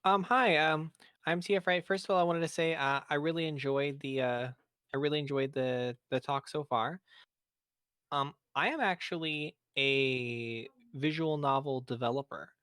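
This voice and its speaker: A man's voice: fairly high pitch, steady tone, moderate pace, fairly bright tone, clean tone, no room sound, clean studio sound. A man asking a polite question as an audience member at a panel, speaking as a game developer.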